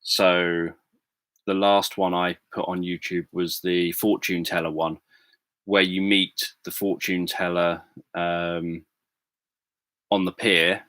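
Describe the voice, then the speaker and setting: A man with a very low voice talks softly and hesitantly to his viewers, often pausing on "um" and starting over. He is recorded close up in a dry room with no echo. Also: little pitch movement. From England. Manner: hesitant, many fillers and restarts. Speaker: livestream host. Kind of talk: casual livestream chat answering viewers. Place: home room.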